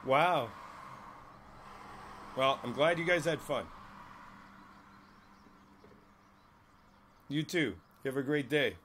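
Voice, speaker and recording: A man playing an easygoing, friendly older neighbor in a conversational ASMR roleplay, speaking thoughtfully with hesitant pauses. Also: on the high side, a bit bright, slight background noise. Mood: cheerful, teasing. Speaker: voice actor in an audio roleplay. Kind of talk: ASMR roleplay, one side of a casual conversation. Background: outdoor ambience with birds.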